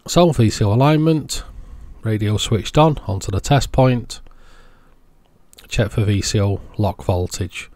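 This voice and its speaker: A man's voice: lowish voice, a little slow, a little mellow, dry recording. A man narrating a hands-on tutorial step by step in a plain, methodical way. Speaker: host of electronics repair tutorials.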